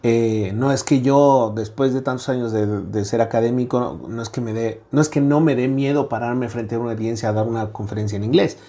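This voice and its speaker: A middle-aged man with a Mexican accent talks as an interview guest, his voice dark and mellow at an ordinary middle pitch. He thinks aloud, padding his points with "eh" and checking in with "¿no?". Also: fairly quick, hardly any pauses. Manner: reflective, frequent "eh" fillers and tag "¿no?". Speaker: guest academic. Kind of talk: conversational interview.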